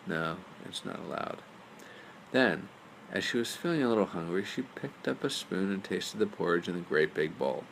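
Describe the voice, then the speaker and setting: A man's voice: low voice, animated, normal speed, creaky, rough recording. A man narrating a bedtime story aloud as a storyteller. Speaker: storyteller, father reading aloud. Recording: faint background noise.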